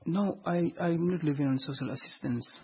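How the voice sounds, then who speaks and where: A man speaking at a panel discussion about his own experience, halting and repeating words as he searches for them. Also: a little high, breathy edge. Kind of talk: unscripted discussion. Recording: dull lo-fi recording.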